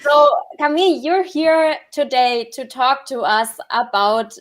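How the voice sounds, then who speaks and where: A woman's voice: high-pitched, measured pace. A woman with a German accent hosting a livestream over a video call, enthusiastic and chatty, often repeating words and laughing. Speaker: livestream host.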